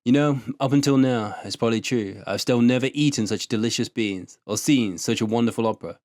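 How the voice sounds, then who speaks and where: A man with a mid-pitched voice reads a short story in the first person. He is expressive and conversational, like someone recounting a memory. The sound is dry, with no room echo. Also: a little fast. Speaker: narrator and translator.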